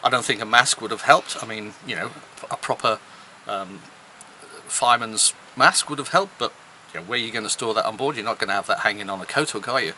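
Low, bright-voiced middle-aged man. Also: a little fast, slight background noise. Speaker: host of a sailing vlog. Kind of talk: casual explanatory vlog talk to camera. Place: outdoors at a marina and aboard a sailboat.

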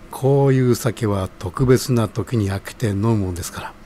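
A man playing a kindly middle-aged bar owner in an audio drama, polite, soothing and measured. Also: medium pitch, darkish tone, a little hiss or hum, close to an everyday voice. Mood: welcoming, hearty. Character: kindly older male bar owner, calm, fatherly, courteous.

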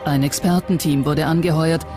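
A documentary narrator reading a script in a measured, serious manner. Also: medium pitch, fairly even intonation, warm tone. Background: music under the voice.

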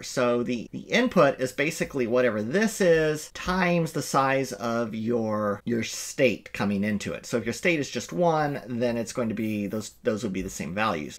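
A middle-aged man teaching a coding lecture over a screen recording, explaining in a relaxed way with small restarts, recorded in a home office. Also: mid-range voice. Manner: explanatory, self-correcting, relaxed. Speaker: instructor.

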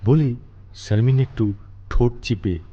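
A man narrating a dramatic scripted horror story as host, measured and suspenseful. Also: medium pitch, dull audio. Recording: music behind the voice.

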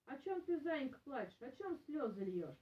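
A woman's voice: sits high, very animated, moderate pace, muffled recording. A woman voicing a helpful grey wolf from a folk tale, concerned and firm. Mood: comforting. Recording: roomy, distant camera microphone.

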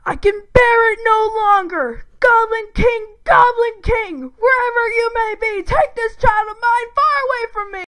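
Woman putting on a very high melodramatic, wailing plea. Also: unhurried, hardly any pauses, a bit bright, dry recording. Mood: desperate wailing. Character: distressed character reciting a dramatic goblin-summoning plea.

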